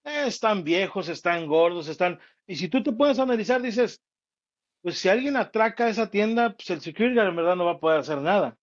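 A man's voice: sits high, lively intonation, fairly quick, lo-fi sound. A man co-hosting a casual chat, teasing and joking through the banter. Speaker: podcast co-host.

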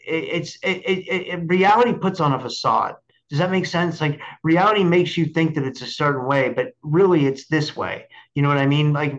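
A man hosting a casual interview, speaking haltingly and repeating words as he searches for how to put things. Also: a little high, fairly bright tone, lo-fi sound. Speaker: podcast host interviewing a guest.

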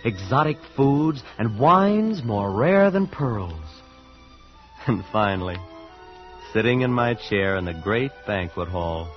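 Earnest, lively young adventurer. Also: male voice, on the low side, slow pace, soft background music. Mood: wondering, ominous. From Missouri (the figure). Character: earnest, wide-eyed young male student, narrating his adventure. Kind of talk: 1940s radio acting and narration. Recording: muffled 1940s radio recording.

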